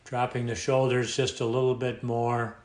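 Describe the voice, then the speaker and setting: A man with a medium-pitched voice guides a meditation in an echoey room. He speaks calmly and without hurry, leaving long pauses between short, instructive phrases. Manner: calm, unhurried, with long pauses. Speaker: meditation teacher.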